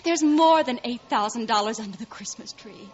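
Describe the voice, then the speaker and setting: An American actress playing a devoted, anxious, tender wife in 1940s radio drama style. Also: female voice, a little high, lively intonation, moderate pace, close to an everyday voice. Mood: tender, relieved. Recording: muffled 1940s radio recording, faint noise.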